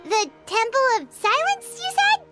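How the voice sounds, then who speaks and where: A woman voicing a tiny, excitable female fairy companion in a video game, squeaky and eager with lots of questions. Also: unhurried, heavily acted. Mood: curious. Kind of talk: video game cutscene acting. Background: loud game music.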